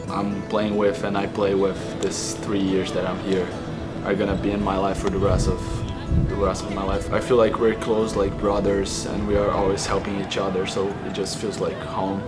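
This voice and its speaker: A young man whose first language is not English speaks in a low, flat, soft voice. His tone is calm and easygoing, and loud music plays underneath. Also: moderate pace. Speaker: international student-athlete.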